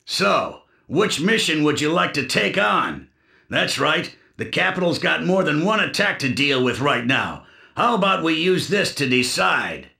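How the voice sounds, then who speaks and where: A smug military superior in a game cutscene, theatrical and wheedling. His voice is creaky and forceful with big pitch swings, heard in an echoey room a little off the mic. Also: big pitch swings, a little slow, recorded from a distance, full character voice. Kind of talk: video game cutscene acting.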